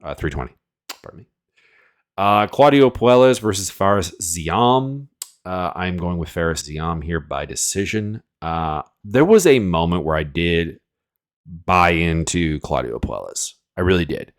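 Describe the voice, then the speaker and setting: Deep-voiced sniffly man talking fights. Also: animated, measured pace. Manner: rambling, filler-heavy, opinionated. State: sniffly from a cold. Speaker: MMA analyst host. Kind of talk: casual fight predictions monologue.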